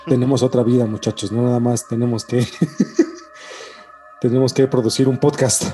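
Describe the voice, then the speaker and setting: A man's voice: medium pitch, flat delivery, moderate pace, mellow, soft background music. A man with a Mexican accent, hosting and chatting casually on a podcast. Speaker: podcast host. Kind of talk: casual podcast chat with announced intro.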